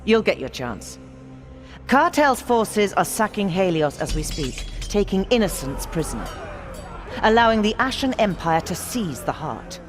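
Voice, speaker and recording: An actress voicing a stern, middle-aged resistance leader in a video game, grave and authoritative. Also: female voice, mid-range voice, sing-song, a little slow, over music. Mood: urgent. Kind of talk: game cutscene briefing.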